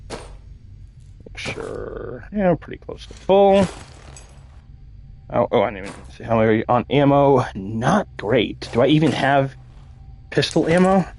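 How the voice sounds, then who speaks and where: A man's voice: mid-range voice, animated, slow pace, creaky, long pauses, a little mellow. Chatty man with a slightly scratchy voice. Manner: chatty, upbeat. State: slightly scratchy voice after illness. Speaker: gaming YouTuber. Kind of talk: casual gameplay commentary.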